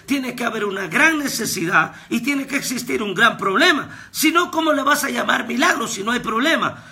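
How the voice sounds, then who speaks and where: Bright, gravelly-voiced preacher man. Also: very high-pitched. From Guatemala. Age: 30s. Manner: fervent preaching with repetitions, rising to shouts. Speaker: pastor and prophet. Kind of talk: recorded devotional sermon.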